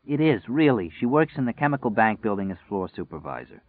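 A man's voice: lowish voice, big pitch swings, a little fast, dry recording. A man voicing a hungover young man who brushes people off curtly, in a slightly dull lo-fi recording. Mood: evasive. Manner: curt, brushing off.

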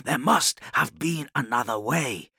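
A male narrator as a grave warlord khan from an audiobook, proud and brooding. His voice is gravelly and dry, with slow, deliberate phrases that rise and fall expressively. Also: mid-range voice, animated, sharp tone, dry recording, pitched above the performer's own voice, heavily acted. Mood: grave, measured. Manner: measured, deliberate, formal phrasing.

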